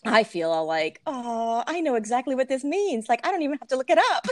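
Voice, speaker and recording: A woman hosts a casual podcast conversation over a remote call, bubbly and quick to laugh. Her pitch leaps up and down with lively animation. The sound is dry and close, with no room around it. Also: dry recording. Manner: bubbly, laughs often, many fillers. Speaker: podcast host. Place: staying in Puerto Rico, remote call.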